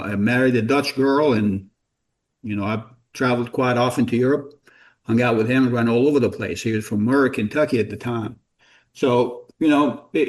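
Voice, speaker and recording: A middle-aged man from Jordan, a company founder as podcast guest, telling stories in a rambling, self-deprecating way with many false starts. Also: medium pitch. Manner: rambling, self-deprecating, repeats words when starting sentences. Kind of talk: casual storytelling interview.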